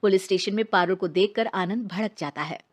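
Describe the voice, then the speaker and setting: A woman's voice: mid-range voice, fairly quick, highs cut off. A woman narrating a scripted dramatic story as its storyteller.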